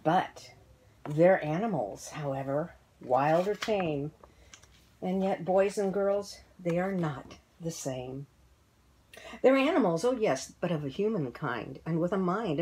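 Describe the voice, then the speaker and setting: A woman voicing a very old, tall, wise tree in a children's story, speaking deliberately and emphatically in moral lessons. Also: on the low side, darkish tone, a little hiss or hum, poor audio.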